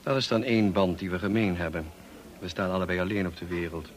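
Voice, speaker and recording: An actor playing a brusque, dryly witty upper-class gentleman in a radio play, clipped and commanding, on a slightly noisy old recording. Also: low voice, quick pace, natural voice. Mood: dry, teasing. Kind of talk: radio drama acting.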